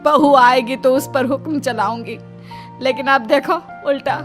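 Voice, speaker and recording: High-voiced, exasperated mother-in-law, acted by a woman. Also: steady tone, measured pace, faint music behind. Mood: complaining. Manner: dramatic, complaining. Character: exasperated, scheming middle-aged Indian mother-in-law. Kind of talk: Hindi cartoon story voice acting.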